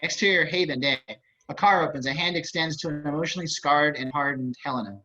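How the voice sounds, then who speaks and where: Bright, higher-voiced man reading stage directions. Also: quick pace. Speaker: table-read narrator. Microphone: video call. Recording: slightly dull video-call audio.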